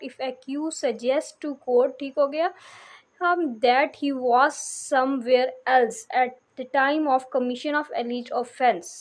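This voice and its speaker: A woman with a distinctly high voice and a Pakistani accent teaches a lesson. She reads out English phrases slowly and carefully, then explains them more quickly, often rounding off a point with a brief check that it is understood. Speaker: law lecturer. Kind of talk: instructional lecture, explaining and reading out notes.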